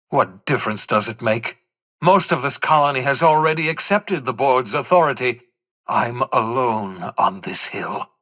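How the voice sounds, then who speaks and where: A man playing an eccentric, obsessive older fugitive scientist in a video game, raspy and theatrical, with emphatic, articulate phrasing and bitter self-reproach. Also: a little high, bright, forceful tone, heavily acted. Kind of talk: dramatic video game dialogue.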